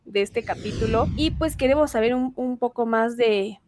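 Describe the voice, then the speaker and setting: Bright-voiced Mexican woman co-host, dry sound. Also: fairly high pitch, dry recording. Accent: Mexican Spanish. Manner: friendly, measured, poses long thoughtful questions. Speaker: podcast co-host. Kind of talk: podcast interviewing.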